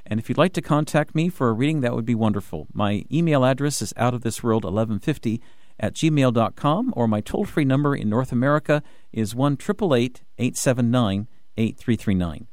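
A man hosting a radio talk show from the studio, talking in an excited rush with many restarts and fillers. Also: medium pitch, fairly quick, dry recording. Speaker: radio host.

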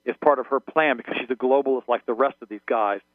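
A man's voice: medium pitch, quick pace, dry recording. A man whose first language is German, a guest on a radio call-in interview, rambling and often repeating words and restarting. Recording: tinny phone line, dry.

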